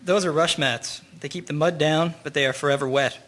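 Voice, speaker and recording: A man's voice: fairly high pitch, brisk, a bit bright, lightly acted. An actor playing a helpful, matter-of-fact army man in a wartime radio drama.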